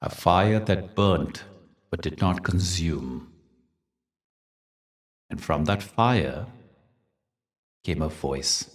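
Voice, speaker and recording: Male meditation guide, low-pitched, gentle and deliberate storyteller, miked from slightly far off. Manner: gentle, deliberate, soothing delivery with dramatic pauses.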